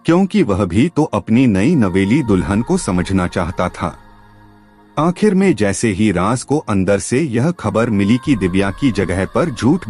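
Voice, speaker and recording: Low-voiced man narrating with lively pitch. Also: slightly rough. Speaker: storyteller narrator. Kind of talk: dramatic scripted story narration. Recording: music behind the voice.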